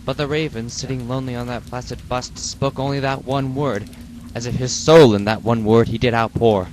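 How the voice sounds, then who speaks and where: A man giving a slow, brooding, theatrical dramatic reading of a poem. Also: medium pitch. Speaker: reader. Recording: steady rain noise behind voice.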